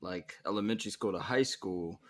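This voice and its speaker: A man with a somewhat low voice hosts a podcast conversation. He is easygoing and asks long questions that wander before landing. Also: brisk. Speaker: podcast host. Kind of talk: casual interview.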